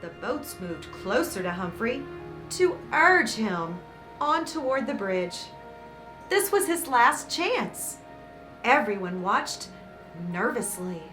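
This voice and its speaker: A woman reading a picture book aloud to children as host, with dramatic emphasis and warm, encouraging asides. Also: a little high, very animated, slow pace. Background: background music.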